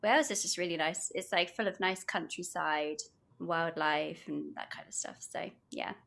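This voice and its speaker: A young British woman talks in a bright, clear voice as a podcast guest. She rambles cheerfully and giggles between thoughts. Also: on the low side, steady tone, moderate pace. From United Kingdom. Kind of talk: casual podcast chat, reading aloud.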